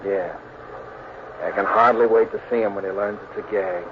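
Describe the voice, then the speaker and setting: An actor playing a smooth, bossy American gambler and swindler with slangy speech, in 1940s radio crime drama style. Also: male voice, on the low side, steady tone, normal speed, natural voice. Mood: smooth, persuasive. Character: slick menacing male big-time gambler and swindler. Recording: tinny noisy old radio broadcast.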